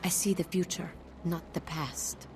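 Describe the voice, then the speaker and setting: A woman's voice: fairly low pitch, steady tone, measured pace, noisy background. A woman playing a cryptic fortune teller in a video game, speaking in portentous riddles. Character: cryptic, ominous female fortune teller who sees the future. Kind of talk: video game dialogue acting.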